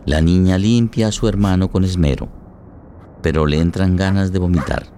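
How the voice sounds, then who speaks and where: An actor playing a grave, solemn narrator in a tragic radio play, with deliberate phrasing. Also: male voice, low-pitched, warm tone, slight background noise. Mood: grave, tense.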